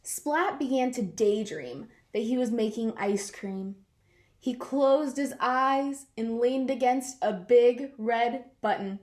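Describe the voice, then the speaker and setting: A woman reading a picture book aloud to children in an expressive way, drawing out words for effect. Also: on the high side, takes it slowly. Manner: animated, draws out words for effect. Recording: roomy, echoing sound.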